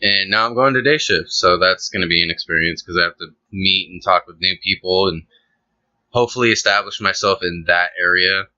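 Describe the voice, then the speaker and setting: A man's voice: low voice, brisk, pushed and bright, dry recording, highs cut off. A young man co-hosting a casual podcast, talking in a laid-back, joking, rambling way with fillers. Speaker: podcast co-host.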